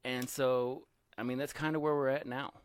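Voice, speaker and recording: A man's voice: medium pitch, little pitch movement, normal speed, clear, resonant tone, no echo. A man in casual podcast talk, agreeable and chiming in, then riffing with a laugh in his voice. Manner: agreeable, chimes in with short replies. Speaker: podcast co-host. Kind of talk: casual chat.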